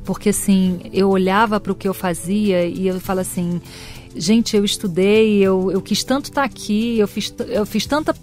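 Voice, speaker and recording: A woman's voice: mid-range voice, moderate pace, soft background music. A middle-aged woman, a career coach, talking expressively in an interview and acting out quoted lines. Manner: expressive, acts out quoted voices. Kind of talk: conversational interview.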